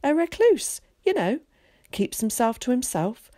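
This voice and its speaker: A bright, eager schoolboy spills out friendly chatter in a raised, lively voice, as a woman reader puts him on. The words bounce up and down in pitch, and the sound is close and dry with no room around it. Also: no room sound. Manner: quick, bright, well-spoken chatter.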